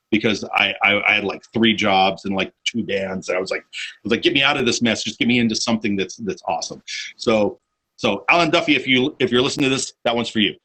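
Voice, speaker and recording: A man's voice: lowish voice, pushed and bright, breathy edge, low-quality recording. A man hosting a casual podcast chat, telling long rambling stories with many fillers and restarts. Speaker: podcast host, bassist.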